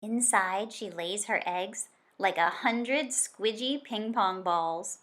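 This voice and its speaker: A woman with a mid-range voice reads a picture book aloud in the manner of a teacher with young listeners. Her delivery is expressive and deliberate. She shapes phrases like a storyteller and stretches important words. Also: mid-range voice. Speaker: teacher reading aloud to children.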